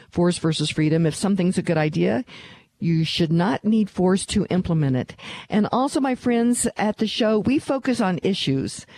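A woman's voice: on the low side, dark tone. A woman hosting her own talk radio show. She is earnest and emphatic and repeats her key phrases.

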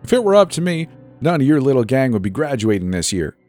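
A man voicing a stern, scornful woman who is a high school principal, scolding and spitting out words in a dramatic story reading. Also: big pitch swings, moderate pace, a little mellow, faint music behind.

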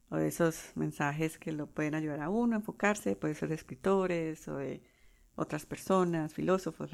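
A Colombian woman in her forties hosting her own podcast, talking unscripted with pauses and restarts. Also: fairly low pitch, vocal fry. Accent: Colombian Spanish. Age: 40s. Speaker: podcast host. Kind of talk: solo podcast monologue.

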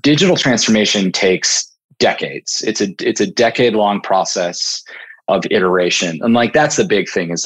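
Deep-voiced man in an interview. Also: slightly rough, rough recording. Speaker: podcast guest, product evangelist in staffing software. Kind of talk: conversational interview answers.

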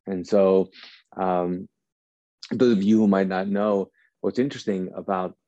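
A man in his forties, from Kuwait, gives a personal, reflective talk to his audience in a halting, thoughtful way, searching for his words. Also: low-pitched, fairly even intonation, warm tone, no echo, lo-fi sound. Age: 40s. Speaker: speaker addressing an audience.

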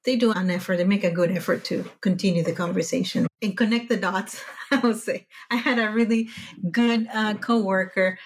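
A woman's voice: medium pitch, normal speed. A woman whose first language is Spanish, telling her story as a podcast guest in a casual, chatty way, with frequent restarts and self-corrections. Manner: chatty, animated when recounting frustration, frequent self-corrections.

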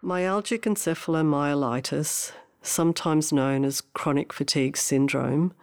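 A woman's voice: low voice, a little mellow, no room sound. A woman reading scripted narration in a plain, instructive manner.

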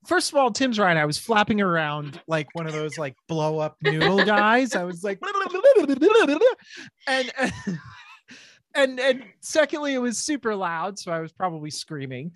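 A middle-aged woman hosting a podcast, talking in an animated, rambling way with laughs and restarts. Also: mid-range voice, a little slow, no room sound. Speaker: podcast host. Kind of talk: casual podcast chat.

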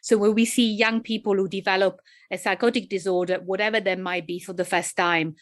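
Woman, Italian accent, psychiatrist guest, thoughtful, hesitant fillers, medium pitch. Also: fairly even intonation, fairly quick. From Italy. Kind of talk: interview answers.

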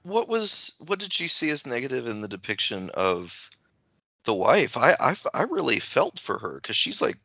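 A man co-hosting a podcast in casual, reflective discussion, speaking haltingly and often correcting himself, on slightly dull audio. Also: medium pitch, sing-song, gravelly, pushed and bright, no room sound. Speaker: podcast co-host; teacher.

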